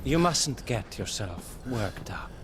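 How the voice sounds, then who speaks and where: A calm game villain, hushed and condescending. He draws his words out slowly with a swaying, falsely soothing tone amid loud ambience. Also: medium pitch, background noise. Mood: taunting calm. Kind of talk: video game cutscene acting.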